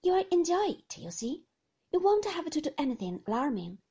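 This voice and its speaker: A woman reading the part of an earnest, stiffly correct young gentleman in an audiobook, in a serious, proper manner. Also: sits high, big pitch swings, moderate pace, voice pushed up.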